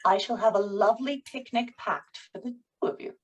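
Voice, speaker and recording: A woman's voice: medium pitch, normal speed, slightly rough, close to an everyday voice. A woman playing a poised, controlling writer's wife in a period drama table read, with formal, persuasive phrasing. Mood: poised, brisk.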